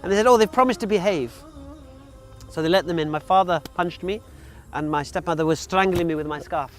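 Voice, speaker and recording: A man's voice: sits high, fairly quick, faint music behind. A man of forty who grew up in Wales, speaking frankly about his life in a casual interview, with frequent ums and uhs. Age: 40s. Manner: frank, plain-spoken, with many ums and uhs. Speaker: interview guest. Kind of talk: casual personal storytelling.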